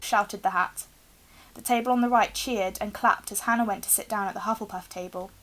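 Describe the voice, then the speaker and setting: A woman's voice: medium pitch, steady tone, brisk, fairly bright tone, poor audio. Young woman reading a story aloud. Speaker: reader, teacher. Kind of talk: expressive storybook narration read aloud. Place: small room indoors with bookshelves.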